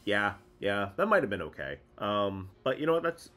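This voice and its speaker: A man's voice: on the low side, very animated, moderate pace, pushed and bright. A man with a cold and a congested voice, casually explaining a competitive game team and thinking aloud with many ums. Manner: chatty, explains strategy, frequent ums. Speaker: Pokemon VGC content creator.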